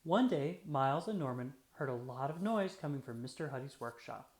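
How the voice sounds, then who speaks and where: Storyteller reading a picture book to little kids, medium pitch that moves around a lot, roomy echo. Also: lively intonation, moderate pace, a little mellow. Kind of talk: children's picture book read-aloud. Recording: roomy, echoey sound.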